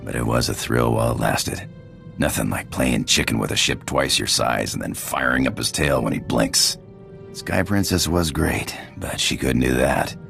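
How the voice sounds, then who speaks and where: A swaggering rogue pirate from a sci-fi game. His voice is extremely deep and full of gravel, and he drawls casual slang with tough, flirtatious confidence. Faint music plays underneath. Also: moderate pace, a bit bright, full character voice. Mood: cocky, casual. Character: cocky roguish space pirate, tough flirtatious adult man. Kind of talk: video game character acting.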